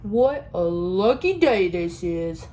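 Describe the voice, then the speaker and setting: A woman voicing an excited young male donkey in a storybook reading, speaking eagerly and slowly. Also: lowish voice, slight background noise, voice pushed down. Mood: thrilled.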